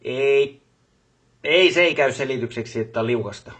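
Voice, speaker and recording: A man's voice: medium pitch, a bit bright, lightly acted. A man playing a busy, businesslike factory boss in a video game, talking colloquially and repeating short affirmations. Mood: stern, pressing. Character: busy, brisk male factory boss on the job. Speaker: voice actor for a game character. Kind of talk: game voice lines, phone calls and workplace speeches.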